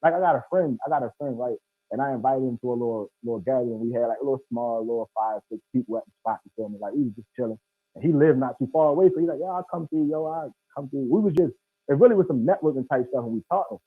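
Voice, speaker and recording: A man's voice: medium pitch, fast, breathy edge, no echo. A man in his thirties with an American accent, talking casually and emphatically in slangy speech over a video call with thin sound. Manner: emphatic, slangy, often says "bro". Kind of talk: casual, animated discussion. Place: indoor room, video call. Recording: thin phone-like online call audio.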